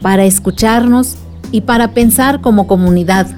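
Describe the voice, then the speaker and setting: An actress playing a gentle aunt telling a story to a child in a radio drama. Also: female voice, mid-range voice, moderate pace, faint music behind, close to an everyday voice. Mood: gentle, reflective.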